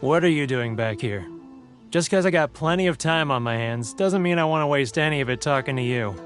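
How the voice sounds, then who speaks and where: An actor playing a grumpy, sentimental expatriate merchant in a video game, theatrical and emotive. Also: male voice, fairly high pitch, lively intonation, brisk, soft background music, close to an everyday voice. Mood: irritated, suspicious.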